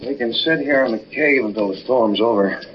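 An actor playing a wry, protective young husband, bantering in a 1940s radio drama. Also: male voice, medium pitch, moderate pace, lightly acted. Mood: easygoing. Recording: muffled, noisy 1940s radio recording.

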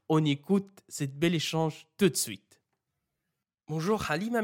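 A man hosting a podcast, reading a measured, prepared introduction before putting questions to his guest. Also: high voice. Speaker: podcast host. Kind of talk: scripted intro then interview questions.

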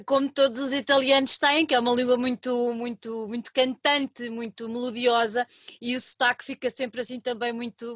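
Portuguese woman host, chatty, lightly high voice, dry close mic. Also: dry recording. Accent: European Portuguese. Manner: chatty, warm laughter, many restarts. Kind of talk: radio interview.

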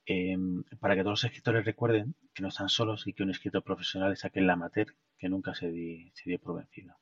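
A man with a Castilian Spanish accent hosts an informal solo podcast, speaking haltingly and often repeating words. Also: low-pitched, creaky, no echo. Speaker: podcast host. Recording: dull, muffled sound, distant mic.

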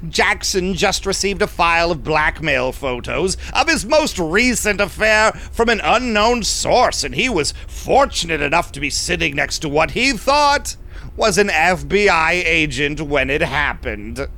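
A man's voice: a little high, animated, unhurried, gravelly, bright, forceful tone, exaggerated voice. An actor playing a sly, self-assured male vampire businessman who schemes and tells his own story in an audio drama. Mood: sly, confiding. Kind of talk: audio drama acting and first-person narration.

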